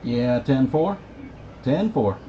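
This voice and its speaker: A man's voice: medium pitch, expressive, slow. A man, a CB operator hosting a channel in casual radio chat. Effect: CB radio sound. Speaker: CB operator running a live stream. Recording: muffled, noisy CB radio capture.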